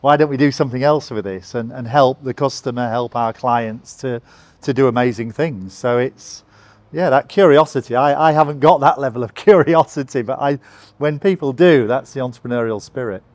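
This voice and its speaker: An older man explaining his company's work in a measured, conversational interview, often saying "you know". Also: medium pitch, a little mellow, no echo. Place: bright open office lounge with large windows.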